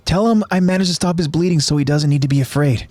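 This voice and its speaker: A man's voice: a little high, fast, a little mellow, dry recording, pitched above the performer's own voice, close to an everyday voice. A man voicing an earnest, defiant young soldier boy in an anime story.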